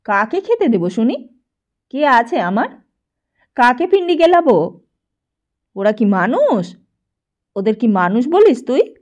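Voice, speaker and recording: A woman's voice: fairly high pitch, very animated, slow, pauses often, highs cut off, full character voice. A woman voicing a cantankerous, miserly old grandfather in an audiobook, scolding and cursing as he rants. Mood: furious, bitter. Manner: shouting, cursing, ranting.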